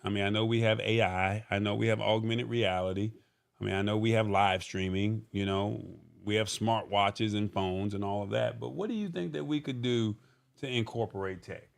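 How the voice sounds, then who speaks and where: A man's voice: on the low side, steady tone, normal speed, gravelly. A man hosting a live show in casual talk, conversational and thinking aloud as he goes. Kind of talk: casual livestream talk, reading viewer comments.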